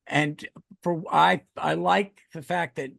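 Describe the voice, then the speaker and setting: A man chatting informally as a podcast guest. His voice sits a bit high yet has plenty of gravelly fry. It is recorded close and dry. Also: fairly even intonation, takes it slowly, fairly bright tone, no echo. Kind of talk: casual chat.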